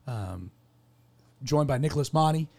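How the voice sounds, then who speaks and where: A man's voice: on the high side, expressive, unhurried, gravelly, dark tone. A man hosting a radio show as a pastor, talking casually with fillers while his voice cracks from having lost it. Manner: good-humoured, rambling with fillers. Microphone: studio microphone.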